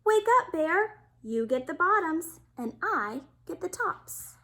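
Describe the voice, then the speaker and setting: A high, lively voice for a clever storybook hare, put on by a woman reading aloud. He is an upbeat, smooth-talking schemer, selling his deals with big swoops of pitch and unhurried, stretched words. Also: a little hiss or hum, exaggerated voice. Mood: smug. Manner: persuasive, upbeat, salesman-like.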